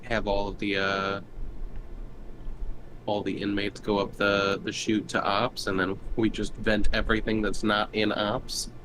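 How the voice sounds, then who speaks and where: Clear background noise sits behind a man with a fairly low voice. He talks casually as a player at a tabletop game. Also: monotone, unhurried.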